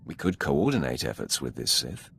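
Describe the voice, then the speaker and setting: An actor playing a commanding Sith master in a video game, cold, ruthless and smug, with an imperious, measured delivery. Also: male voice, sing-song, a bit bright, slight background noise, heavily acted. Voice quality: very deep, gravelly. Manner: measured, imperious, dryly suggestive. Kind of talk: scripted video game acting.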